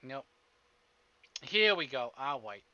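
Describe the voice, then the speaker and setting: A man's voice: mid-range voice, very animated, takes it slowly, bright, forceful tone, lo-fi sound. A man streaming a visual novel playthrough, chatting in a jokey, sweary way and reading text aloud. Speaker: game streamer. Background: visual novel music.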